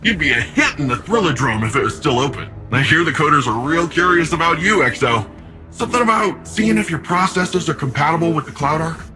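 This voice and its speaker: An actor playing a big, cheerful, cocky young male city defender in a video game, with a breezy, slangy, eager and friendly manner. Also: fairly high pitch. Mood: amused, excited.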